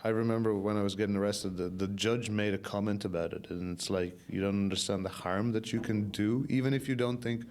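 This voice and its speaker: A young man from Ireland with a softened, somewhat Americanized Irish accent, telling his own story candidly and casually as a podcast guest. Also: fairly low pitch, fairly even intonation, a little fast, few pauses. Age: young adult.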